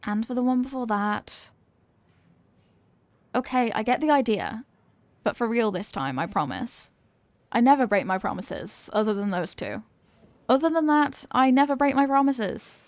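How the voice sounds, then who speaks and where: High-pitched, evasive cheerleader girl, acted by a woman. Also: expressive, moderate pace, no room sound, close to an everyday voice. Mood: flustered, defensive. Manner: hesitant, stammering, defensive. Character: evasive, flustered popular teenage cheerleader girl being tutored. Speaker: ASMR roleplay voice actor. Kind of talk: ASMR roleplay, acted one-sided conversation.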